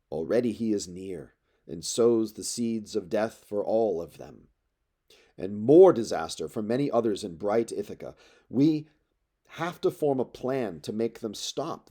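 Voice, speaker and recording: A man reading aloud plays a grave old seer in an epic tale. He warns of doom like an orator, solemn and emphatic, in a dark, mellow tone. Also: lowish voice. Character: grave old male prophet warning of doom. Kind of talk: dramatic epic poetry read aloud.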